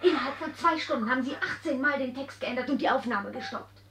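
A woman's voice: high-pitched, quick pace, a bit bright, echoey room, far from the mic, slight background noise. A woman acting in a radio play as a harried, exasperated studio director, impatient and indignant. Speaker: actor in a radio drama.

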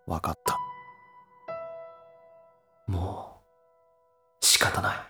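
A man's voice: drawn-out words, music in the background. A man playing a brash, earnest, conflicted young man in a Japanese voice drama, speaking bluntly and casually. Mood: reluctant, pained. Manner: rough, blunt casual speech.

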